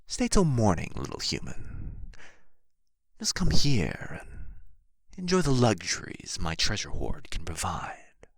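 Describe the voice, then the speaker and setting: A man's voice: on the low side, wildly swooping pitch, slow, creaky, breathy edge, no echo, studio quality, full character voice. A man playing a proud, pompous male dragon in a fantasy ASMR roleplay, with archly formal, theatrical phrasing. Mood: sly, possessive. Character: proud, haughty injured male dragon, grudgingly grateful, teasingly possessive.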